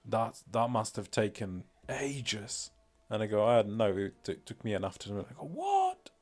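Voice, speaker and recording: A man's voice: fairly low pitch, sing-song, normal speed, darkish tone, soft background music. A man with a Polish accent, a native Polish speaker, streaming casually and rambling with many fillers and self-deprecating remarks. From Poland. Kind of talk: casual live-stream commentary.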